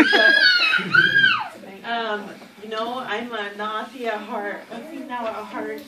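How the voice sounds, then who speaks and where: Woman, reunion organizer, loud and heartfelt, halting with fillers, higher voice, far mic, echoey hall, heavy noise. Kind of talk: emotional closing remarks. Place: large room at family gathering. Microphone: distant from recorder.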